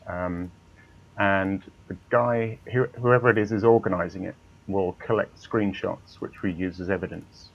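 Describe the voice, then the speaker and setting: A man explaining things as a guest on a casual voice chat, calm and easygoing. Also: low-pitched, measured pace, highs cut off. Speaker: guest, racing club organizer. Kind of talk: casual interview over voice chat.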